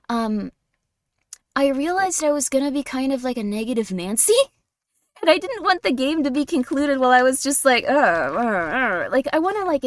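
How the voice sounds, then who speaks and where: A tired woman streaming a game, chatting casually in a slightly raspy voice, rambling with many fillers. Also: sits high, no echo. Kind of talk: casual chat while playing a game.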